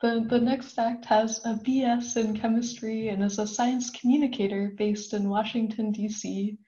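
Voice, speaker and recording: A woman's voice: fairly high pitch, fairly even intonation, warm tone. A woman hosting an online comedy show, introducing acts with enthusiasm. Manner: enthusiastic, quick. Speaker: show host. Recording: dull lo-fi call audio.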